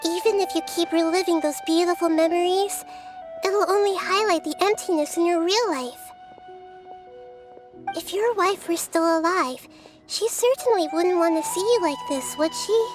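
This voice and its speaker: A wise little goddess from an anime game, with a very high girlish voice. She speaks gently and earnestly, weighing her thoughts, with faint music underneath. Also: normal speed. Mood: gentle, serious. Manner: soft-spoken, thoughtful, earnest. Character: gentle, wise, childlike young girl deity.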